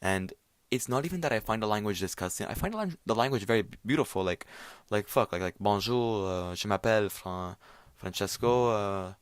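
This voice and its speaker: A man's voice: fairly low pitch, lively intonation, brisk, clear, resonant tone, no room sound. A young man from Quebec hosting his own podcast, ranting casually with lots of swearing, fillers and restarts. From Quebec, Canada. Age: young adult. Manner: profane, rambling, filler-heavy. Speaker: podcast host. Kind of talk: casual solo rant podcast.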